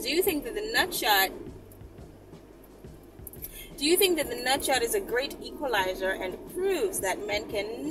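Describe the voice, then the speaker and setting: Sassy high-pitched woman in a car. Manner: sassy, emphatic, repeats phrases for emphasis. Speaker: vlogger answering viewer questions. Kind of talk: casual, candid chat to camera. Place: inside a car, often while driving. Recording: noisy car interior, slightly distant mic. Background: vehicle and road noise.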